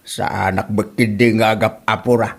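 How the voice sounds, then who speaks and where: A man's voice: fairly low pitch, normal speed, gravelly. A man playing a grumbling, defensive older father and husband in a comedy drama, with an Ilocano accent and a complaining manner. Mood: irritated. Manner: complaining, excitable. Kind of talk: radio-style comedy drama acting.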